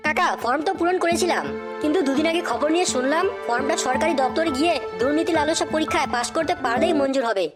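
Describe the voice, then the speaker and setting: An indignant young cartoon job seeker a woman plays him, sarcastic and challenging. The voice is high and races along, with music behind it. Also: fast talker, lightly acted. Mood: puzzled, wry. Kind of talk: comedy cartoon dubbing.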